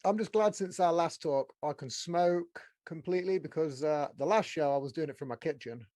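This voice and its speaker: A man hosting a podcast as a casual, blokey, excitable chat with plenty of swearing. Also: a little high, normal speed, no room sound, studio quality. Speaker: podcast host. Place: small studio room.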